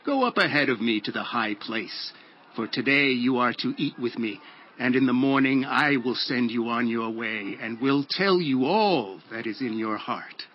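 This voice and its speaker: An actor playing a solemn, commanding prophet in a dramatized audio Bible, with measured, declamatory delivery. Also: male voice, mid-range voice, expressive, lightly acted. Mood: solemn, assured. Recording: dull lo-fi audio with music. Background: background music.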